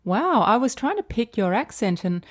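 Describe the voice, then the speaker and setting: A woman hosting a podcast, reading a scripted intro and then interviewing a guest in a measured, friendly way. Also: fairly high pitch, sing-song, no room sound, studio quality. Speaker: podcast host.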